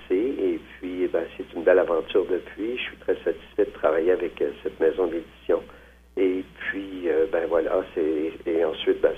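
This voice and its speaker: A man's voice: low-pitched, breathy edge. A man, a novelist, speaks hesitantly in a phone interview, with many fillers and restarts. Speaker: novelist guest. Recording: tinny phone line.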